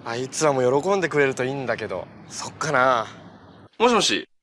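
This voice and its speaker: A man's voice: mid-range voice, expressive, brisk, a bit bright, lightly acted. An actor playing an easygoing, kind young male hero in a TV superhero drama, speaking in a friendly, earnest, casual way. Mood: apologetic, friendly. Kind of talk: naturalistic Japanese TV drama acting. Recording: TV drama audio with noticeable background noise.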